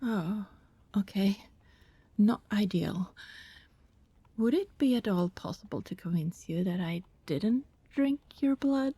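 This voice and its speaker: Soft, breathy vampire woman, acted, speaking slowly. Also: mid-range voice, lively intonation, no room sound. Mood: resigned, nervous. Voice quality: soft, breathy, often dropping to a whisper. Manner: hesitant, politely formal wording, sighing. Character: apologetic, anxious female vampire neighbor pleading to be understood. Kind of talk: ASMR roleplay acting.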